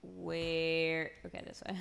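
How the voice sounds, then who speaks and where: A woman's voice: deep voice, level tone, slow, gravelly, a bit bright, a little hiss or hum. A woman live-streaming a video game, chatting casually to viewers and breaking into exclamations with mild minced swearing. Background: game music.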